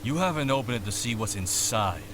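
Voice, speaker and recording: An actor playing a curt, suspicious, threatening bandit boss in a video game, speaking deliberately. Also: male voice, medium pitch, animated, lightly acted. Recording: game capture with clear background noise.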